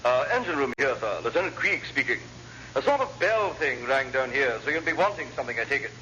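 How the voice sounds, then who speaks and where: An actor playing a cheerfully apologetic, blithely incompetent naval lieutenant who rambles and repeats himself in an old radio sitcom. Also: male voice, mid-range voice, lively intonation, fairly quick, pushed and bright, lo-fi sound. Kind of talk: classic radio sitcom acting. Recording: noisy old radio comedy recording.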